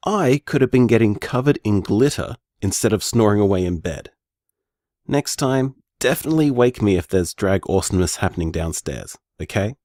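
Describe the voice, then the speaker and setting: A soft-spoken young magic-user who rambles and muses out loud, as a man voices him. His voice sits fairly low but swoops up and down with lively inflection. It sounds close and dry, with no room around it. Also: normal speed, dry recording, close to an everyday voice. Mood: earnest. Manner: chatty, musing, hedging with fillers. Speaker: bar owner practicing magic. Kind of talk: audio drama voice message.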